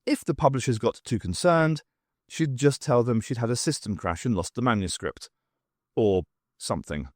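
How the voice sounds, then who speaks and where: A man narrates a novel in an expressive storytelling style. His pitch sits in the middle range and moves about animatedly from phrase to phrase. The sound is dry and close, with no room around the voice. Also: normal speed, dry recording. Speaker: audiobook narrator.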